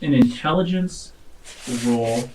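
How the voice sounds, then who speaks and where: A man running a tabletop roleplaying game, telling the story to the players in a halting, deliberate way. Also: medium pitch, noisy background. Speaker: game master.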